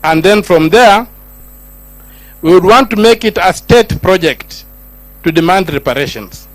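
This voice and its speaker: A man's voice: sits high, lively intonation, unhurried, no echo, poor audio. A middle-aged man with a Kenyan accent giving a speech as a senator, moving between Swahili and English with rising, emphatic lines. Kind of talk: public speech at a gathering.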